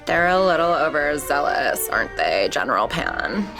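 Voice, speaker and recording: A woman's voice: low voice, big pitch swings, normal speed, music in the background. A woman playing a vain, cruel ice princess, theatrical and imperious, in a table read of an audio drama. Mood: smug, mocking. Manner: theatrical, haughty.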